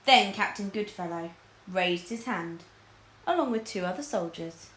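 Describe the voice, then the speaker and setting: Woman reading a kids story out loud, expressive, echoey room. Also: mid-range voice, a little slow. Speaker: storyteller reading a children's book aloud. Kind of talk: expressive scripted story narration. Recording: roomy, echoing indoor sound.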